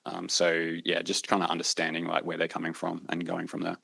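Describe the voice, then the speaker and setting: Aussie guy, really deep and gravelly, flat monotone, lots of ums and uhs, dry close sound. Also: no room sound. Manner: halting, heavy on fillers and jargon. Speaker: panelist, tech company representative. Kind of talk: panel discussion answer.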